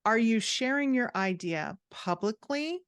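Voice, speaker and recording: A woman's voice: a little high, animated, a little slow, clear, resonant tone, dry recording, studio quality. A middle-aged woman giving candid, emphatic advice in a conversational podcast interview as a guest author and writing coach. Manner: candid, emphatic, self-described sassy. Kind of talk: conversational interview answers, explaining and advising.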